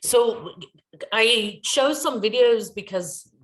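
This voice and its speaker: An American woman giving an academic talk over a video call, thinking aloud with many pauses and ums. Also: on the high side, animated. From United States. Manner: thoughtful, hesitant, many ums. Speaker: academic guest speaker. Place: home in New Zealand.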